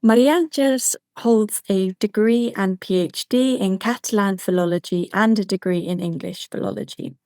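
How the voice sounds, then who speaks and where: A woman with a gravelly, fry-edged voice at a middle pitch introduces the speakers at an online seminar. She reads their credentials politely and steadily. The sound is dry and close. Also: normal speed, hardly any pauses, a little mellow, no echo.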